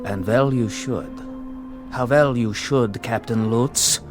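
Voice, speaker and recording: A man acting in a radio drama as a ghostly former camp prisoner, calm and mocking, speaking in measured, insinuating questions. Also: mid-range voice, music in the background, lightly acted. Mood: mocking, knowing.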